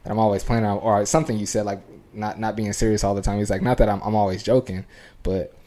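A man co-hosting a casual podcast, telling rambling stories quickly with lots of fillers. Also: fairly low pitch, level tone, mellow. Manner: fast, rambling storytelling with many fillers. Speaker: podcast co-host. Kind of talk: casual chat. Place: home podcast studio.

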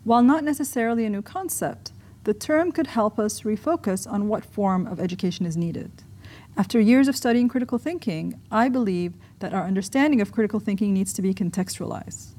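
A woman's voice: mid-range voice, fairly quick, warm tone, husky. A woman from Egypt who works as an academic, reading her own scripted essay as narration.

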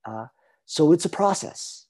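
A man with a Latin American Spanish accent, speaking as an author in a reflective conversation, halting with fillers and restarts. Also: fairly high pitch, animated, darkish tone. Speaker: author answering an interviewer.

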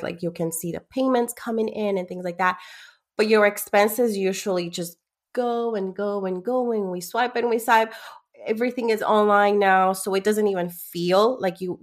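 A woman from Ecuador, a bookkeeper guest on a podcast, explaining things eagerly, step by step, with everyday examples. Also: medium pitch. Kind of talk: explanatory interview talk.

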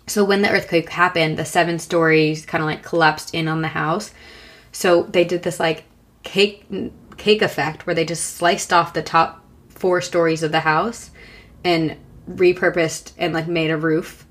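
A woman co-hosting a podcast in casual chat, hoarse from a long cough and talking with many fillers and restarts. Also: low voice, steady tone, measured pace, fairly bright tone. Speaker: podcast co-host.

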